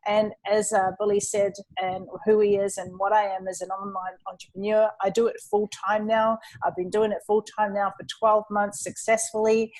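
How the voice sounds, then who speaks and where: A woman's voice: mid-range voice, level tone, normal speed, hardly any pauses, a little mellow, poor audio. A woman from New Zealand, her accent now partly Australian, talks through her story in a webinar. Her delivery is upbeat and earnest, like a testimonial. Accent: New Zealand, leaning Australian. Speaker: online entrepreneur and webinar co-host.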